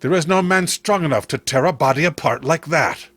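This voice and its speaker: A man voicing a plain-spoken sheriff's deputy in a horror story, grave and steady, with a rustic, reassuring way of talking. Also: on the high side, brisk, dry recording.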